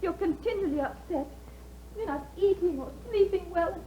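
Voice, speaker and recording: An actress playing an anxious young wife who is tense, pleading and often near tears, in a 1950s radio drama. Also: female voice, extremely high pitch, normal speed. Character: anxious young wife, fearful for her husband. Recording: dull 1950s radio broadcast with faint hiss.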